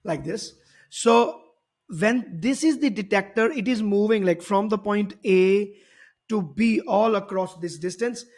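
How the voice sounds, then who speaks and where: A man's voice: high-pitched, measured pace, darkish tone. A man teaching physics, explaining a worked problem step by step and often repeating and rephrasing his points.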